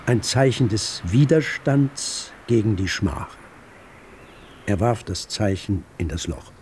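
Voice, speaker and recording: An actor playing a literary chronicler, narrating a radio drama with measured, articulate and deliberate phrasing. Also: male voice, fairly low pitch, expressive, a little mellow, a little hiss or hum. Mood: grave, measured.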